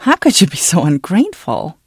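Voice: mid-range voice, huge pitch swings, fairly quick, husky, no room sound, studio quality, exaggerated voice. A reader voicing a defiant young woman, an indignant grown daughter who speaks in clipped words, in an audiobook novel.

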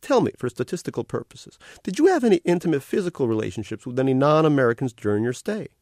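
A relentlessly cheerful electronic otter, pitched far up into a sing-song carnival patter and sitting louder than the story around it. He chirps every line like a friendly salesman, bright and bouncy, with wide swoops in pitch. The delivery is a storybook character voice. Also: very animated, quick pace. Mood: chirpy. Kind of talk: audiobook character voice.

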